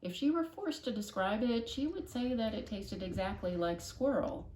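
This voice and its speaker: A woman reading a children's novel aloud expressively, with dramatic, deliberate emphasis. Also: medium pitch, lively intonation, darkish tone. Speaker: storybook reader, classroom host. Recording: echoey room, faint noise.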